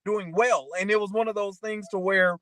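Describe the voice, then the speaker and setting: A man's voice: high voice, steady tone, normal speed, fairly bright tone, no echo. A man chatting casually and motivationally as a talk show co-host, rambling through anecdotes and often checking in with the listener.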